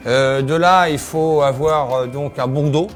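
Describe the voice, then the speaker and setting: Steady Norman farrier, slightly high voice. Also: a little slow, soft background music. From Normandy, France. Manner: steady, keeps answering with "absolument". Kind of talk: interview answers. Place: outdoors at his horse yard.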